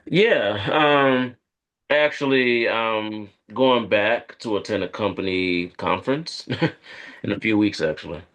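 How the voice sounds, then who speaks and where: An actor plays a guarded man on a date with a fairly low voice. He talks in halting, evasive phrases, like a corporate professional careful about what he reveals, in the conversational style of a screenplay table read. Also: fairly bright tone. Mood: gentle, awkward. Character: guarded male corporate operative on a first date. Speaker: actor at a live script read.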